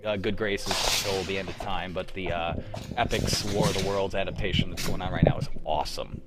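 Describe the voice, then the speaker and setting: A man's voice: low voice, steady tone, brisk. Young man, casual livestream host, rambling and enthusiastic, music in the background. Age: young adult. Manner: rambling, enthusiastic, lots of fillers. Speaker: show organizer and host. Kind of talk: casual wrap-up chat on a livestream.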